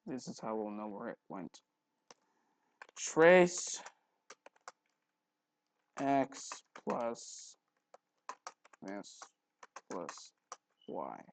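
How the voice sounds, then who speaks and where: A man hosting a casual software tutorial, thinking aloud informally with mild exclamations. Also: mid-range voice. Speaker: tutorial host.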